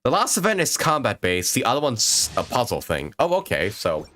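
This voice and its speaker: A man's voice: mid-range voice, wildly swooping pitch, moderate pace, pushed and bright. A man chatting casually while livestreaming a video game, hesitant and rambling as he thinks aloud. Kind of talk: casual gaming livestream chat. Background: video game music.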